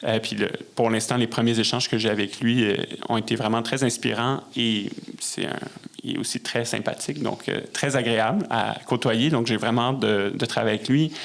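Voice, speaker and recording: Quebec man with a creaky voice. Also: mid-range voice, fairly even intonation, brisk. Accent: Quebec French. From Montreal, Quebec. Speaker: podcast guest, musician and conductor. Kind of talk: conversational interview.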